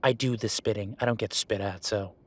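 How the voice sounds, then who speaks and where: A man playing a gruff, sarcastic merman who runs a tattoo shop, speaking in a halting, conversational audio roleplay style. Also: fairly low pitch, fairly even intonation, close to an everyday voice. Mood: irritated, sardonic. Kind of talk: naturalistic one-sided audio roleplay.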